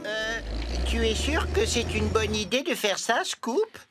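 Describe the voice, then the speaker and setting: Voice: medium pitch, sing-song, a little slow. A voice actor playing a timid, obedient talking construction machine in a French children's cartoon, hesitant and worried in manner. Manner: hesitant, worried, stammering interjections. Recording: music behind the voice.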